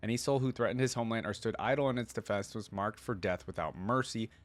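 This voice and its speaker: A man hosting a reaction video, mixing casual, think-out-loud commentary with reading aloud. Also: lowish voice, fast.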